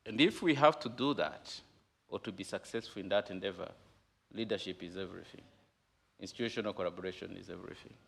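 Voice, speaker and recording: A man's voice: sits low, fast, vocal fry, fairly bright tone, breathy edge. A man moderating a forum panel, speaking with many hesitations and fillers.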